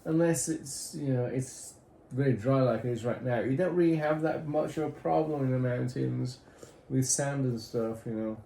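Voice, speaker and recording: A man's voice: medium pitch, moderate pace, creaky, dark tone. A man with a British accent that has drifted toward American, rambling easily and joking in a casual couple's chat. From Britain. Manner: rambling, easygoing, jokey. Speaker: husband in a couple's question game. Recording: echoey room, faint background noise.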